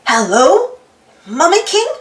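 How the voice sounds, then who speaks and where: Woman as an eager, high-voiced young lab assistant. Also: big pitch swings, takes it slowly, full character voice. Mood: eager, friendly. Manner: cheerful, exclaiming. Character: eager, polite young assistant to a mad scientist. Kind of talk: children's storybook character voice. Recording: roomy echo with music behind.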